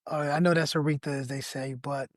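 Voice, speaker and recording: A raspy man with a fairly high speaking voice chats as a podcast guest. He talks emphatically and warmly about singers, building up his praise. The sound is close and dry, with no room around him. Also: steady tone, fairly quick, no echo. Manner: effusive, emphatic storyteller. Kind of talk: casual podcast conversation.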